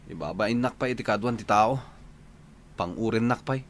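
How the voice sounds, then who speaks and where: A man's voice: lowish voice, normal speed, close to an everyday voice. A man playing a sullen, hungover young husband in a radio drama, grumbling and whining, with some background noise. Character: sullen hungover young husband who drinks too much. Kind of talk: Ilocano radio drama acting.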